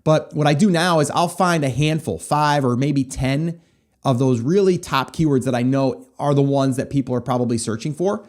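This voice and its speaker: A man's voice: medium pitch, moderate pace, a little mellow. A man hosting a podcast, giving casual advice in a chatty way and often checking in with "okay?" and "right?". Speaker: podcast host.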